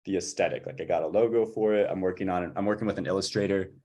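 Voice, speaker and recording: A man talking informally about his work as a music composer, with a husky voice. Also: deep voice, rapid-fire, dark tone. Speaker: music composer for audio fiction.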